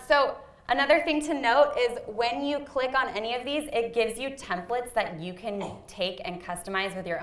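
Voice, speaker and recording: A woman giving a live training presentation to an audience in a meeting room. She speaks loudly and casually, with frequent ums. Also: mid-range voice. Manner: chatty, frequent ums, loud voice. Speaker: workshop presenter. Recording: roomy echoey hall sound.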